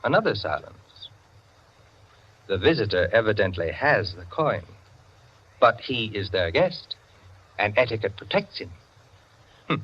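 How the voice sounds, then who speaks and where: An actor playing an opinionated, self-satisfied clubman who lectures his friends in theatrical 1940s radio style, with savored pauses. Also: lowish voice, very animated, a little hiss or hum. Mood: savoring, dramatic. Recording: dull, band-limited 1940s radio recording.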